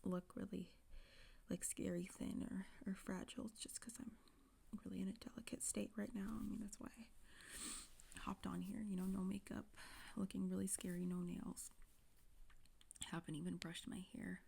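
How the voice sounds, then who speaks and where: A woman's voice: medium pitch, level tone, moderate pace, gravelly, pauses often. A young woman playing a self-pitying influencer, whispering as if on the verge of tears. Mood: whispery, self-pitying. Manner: hushed, whispery delivery throughout. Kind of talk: parody apology video.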